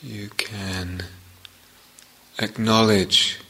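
A man teaching a guided meditation, giving gentle, unhurried instructions in short phrases with long pauses. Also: fairly low pitch, little pitch movement, rough recording.

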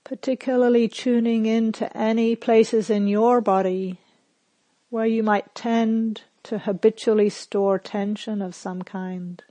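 A woman meditation teacher leading a guided meditation in a calm, gentle, measured manner with long pauses. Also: a little high, dry recording.

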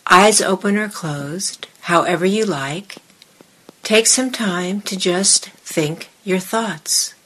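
Slow, husky woman leading guided meditation. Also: medium pitch, fairly bright tone. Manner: measured, deliberate, with pauses between short phrases. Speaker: meditation teacher. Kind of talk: guided meditation and dharma talk to a group in the room.

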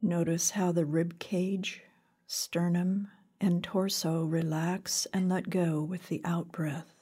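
A woman leading a guided meditation in a calm, gentle, unhurried way with long pauses, her voice soft and breathy. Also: on the low side. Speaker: meditation teacher. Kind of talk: guided body scan meditation.

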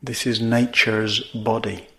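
Male meditation teacher, slow pace, measured phrasing, long pauses, guided practice. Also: on the low side, level tone, a bit bright.

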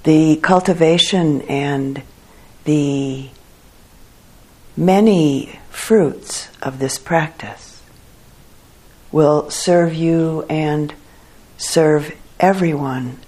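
A woman leading a guided meditation for a group as their teacher, speaking in a calm, measured way with long pauses. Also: deep voice.

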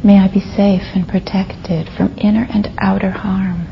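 A woman meditation teacher leading a guided meditation, speaking softly and gently with long pauses and a breathy fade at phrase ends. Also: medium pitch, little pitch movement. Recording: dull lo-fi recording with faint noise.

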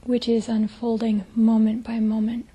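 Very soft, breathy woman guiding meditation. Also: on the high side, monotone, lo-fi sound. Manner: gentle, hushed, with long pauses. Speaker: meditation teacher. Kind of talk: guided meditation.

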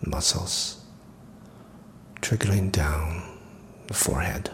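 An extremely deep-voiced man leads a guided meditation at a very slow pace. His delivery is soft and breathy, in short phrases separated by long pauses. Some faint room noise sits behind the voice. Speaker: meditation teacher.

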